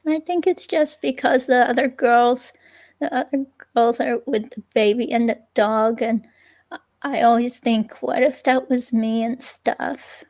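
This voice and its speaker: A woman playing a halting, creaky-voiced young ex-girlfriend. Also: a little high, steady tone, darkish tone. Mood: reflective, unsure. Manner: halting, hesitant, filler-laden delivery. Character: hesitant young ex-girlfriend giving a police statement, uneasy. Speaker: reader playing an interviewee. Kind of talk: dramatic reading of police interview transcript.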